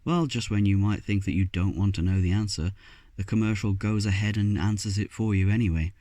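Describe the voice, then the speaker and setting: A man presents a scripted video essay as its host. His voice sits low, and the recording is dry with hardly any room sound. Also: fairly even intonation, brisk, warm tone, dry recording.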